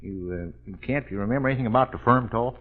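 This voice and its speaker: An actor playing a kindly, slow-thinking American building attendant, hesitant and folksy, in 1940s radio comedy style. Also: male voice, medium pitch, close to an everyday voice. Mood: helpful. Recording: dull, lo-fi old radio recording.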